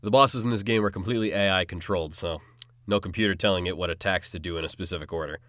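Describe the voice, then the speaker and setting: Man playing a very deep-voiced seasoned swordsman. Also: fast talker, a bit bright, no room sound, studio quality. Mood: brisk, matter-of-fact. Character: seasoned, cocky male swordsman guiding young gamers. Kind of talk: audiobook character acting.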